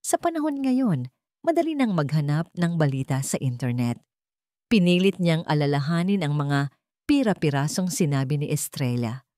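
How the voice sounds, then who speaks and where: A woman's voice: sits low, expressive, normal speed, dark tone, dry recording, studio quality. A woman with a Filipino accent narrating a scripted, dramatic audio story.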